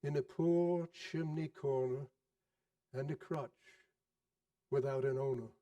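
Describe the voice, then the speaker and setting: A man voicing a stern, solemn ghostly spirit in a storybook performance, speaking slowly and gravely. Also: medium pitch, steady tone, mellow, far from the mic, lo-fi sound, lightly acted. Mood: grave, foreboding.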